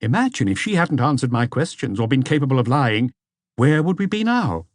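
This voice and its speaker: A man's voice: mid-range voice, sing-song, brisk, no echo, voice pushed up, close to an everyday voice. A man voicing a formal, probing examining magistrate in an audiobook, insistent in his questions. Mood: needling, reasoning.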